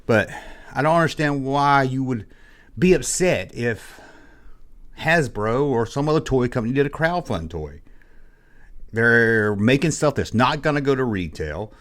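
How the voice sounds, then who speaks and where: Hoarse man ranting bluntly. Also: medium pitch, a bit bright. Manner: exasperated, blunt and colloquial. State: hoarse, losing his voice after illness. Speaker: toy collecting channel host. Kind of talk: casual opinion rant to camera.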